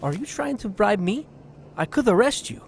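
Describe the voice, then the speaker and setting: An actor in an audio drama playing a tough, shrewd police detective who speaks in an assertive, challenging manner. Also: male voice, high voice, animated, normal speed, vocal fry, slightly rough, heavily acted. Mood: confrontational.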